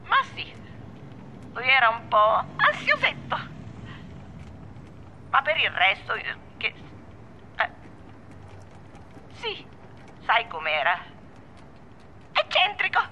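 An actress playing a rattled, defensive woman on a phone call in a radio drama, talking in a halting, repetitive, evasive way. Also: on the high side, wildly swooping pitch, pushed and bright, a little hiss or hum, heavily acted. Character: rattled, defensive woman gossiping about someone close.

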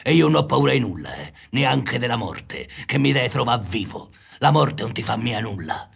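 A man's voice: mid-range voice, animated, normal speed, vocal fry, slightly rough, heavily acted. An actor with a Tuscan accent playing a boastful, lovestruck, fearless man in a colloquial radio play. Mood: proud, insistent.

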